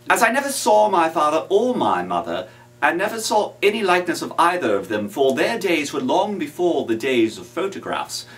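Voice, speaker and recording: Guy reading an old novel aloud, kinda high voice, really animated and theatrical. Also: recorded from a distance. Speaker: reader. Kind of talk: dramatic literary reading, first-person narration.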